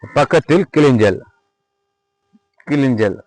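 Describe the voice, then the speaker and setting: A man with a Tamil Nadu accent gives an informal product tour and sales pitch, repeating himself as he demonstrates and politely addresses the viewer. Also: lively intonation, slow, a little mellow, dry recording. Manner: repetitive, demonstrative, addressing viewer respectfully as sir. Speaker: lime manufacturer presenting own workshop. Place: at a lime kiln worksite.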